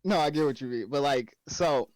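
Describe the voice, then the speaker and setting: A man's voice: medium pitch, darkish tone, dry recording, poor audio. Laid-back man with an Oklahoma accent. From Oklahoma, USA. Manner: laid-back, joking, drawn-out musing. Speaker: stream guest. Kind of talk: casual chat.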